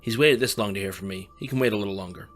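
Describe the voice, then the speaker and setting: A man playing a tired, fast-talking young student. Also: on the low side, fairly bright tone, faint music behind, natural voice. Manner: blunt, swears when tired. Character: young male student with magic powers, weary.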